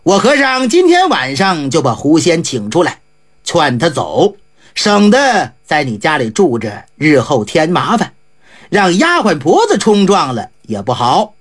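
A woman voicing an eccentric, jovial old male monk in a traditional storytelling performance, with a gruff, drawling and offhand manner. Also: sits low, wildly swooping pitch, measured pace, voice pushed down, heavily acted.

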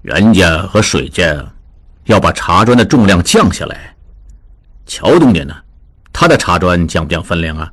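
A man voicing a worried, grave middle-aged merchant who speaks low and heavy. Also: takes it slowly, long pauses, fairly bright tone, no echo, clean studio sound, full character voice.

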